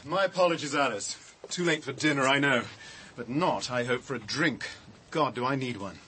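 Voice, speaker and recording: Dry, wry gentleman played by a man. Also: mid-range voice, lightly acted. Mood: apologetic, weary. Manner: dry, measured, wordy. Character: wry, shaken latecomer, dryly theatrical gentleman. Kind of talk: BBC radio drama dialogue. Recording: noisy radio drama recording.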